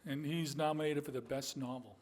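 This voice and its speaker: A man moderating a writers' panel, introducing the panelists in a halting way with many fillers. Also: on the high side, fairly even intonation, heavy background noise. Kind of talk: hesitant introductions.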